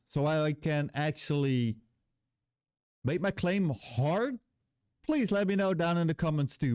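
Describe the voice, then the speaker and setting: Dutch guy ranting in english, swears a lot, hunts for words, kinda high smooth voice, dry close sound. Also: a little mellow, no echo. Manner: blunt and heated, swears freely, searches for English words. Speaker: vlogger, former game level designer. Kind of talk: unscripted angry rant to camera.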